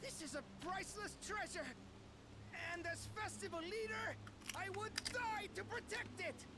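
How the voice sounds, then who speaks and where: An actor playing a small, timid but determined bird-like festival leader in a fantasy video game, speaking with earnest bravery. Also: male voice, very high voice, measured pace. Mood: earnest resolve. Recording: loud game music over the voice.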